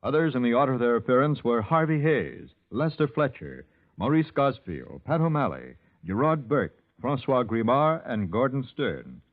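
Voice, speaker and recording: A man's voice: medium pitch, big pitch swings, moderate pace. A man reading scripted announcements as a 1950s radio announcer. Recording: tinny muffled 1950s radio recording.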